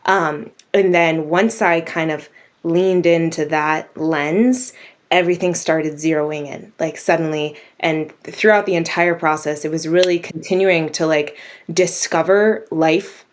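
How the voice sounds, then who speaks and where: A woman's voice: deep voice, fairly even intonation, unhurried. A woman being interviewed, telling stories casually and enthusiastically, often dropping in "like" as she talks. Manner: enthusiastic, chatty, frequent "like". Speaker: radio drama writer, interview guest.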